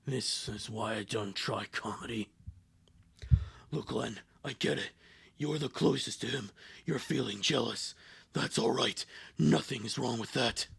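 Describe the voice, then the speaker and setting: Man voicing a gravelly, gloomy goth little sister. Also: mid-range voice, unhurried, fairly bright tone, pitched below the performer's own voice, exaggerated voice. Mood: gravely consoling. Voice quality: gravelly, husky. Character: gloomy, deadpan young goth sister consoling her sibling.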